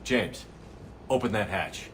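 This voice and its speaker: A man's voice: on the low side, slow, fairly bright tone, slight background noise. A man voicing a stern, determined military commander in a video game, speaking in a terse, no-nonsense way. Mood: commanding. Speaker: video game lead character. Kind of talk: cinematic game dialogue.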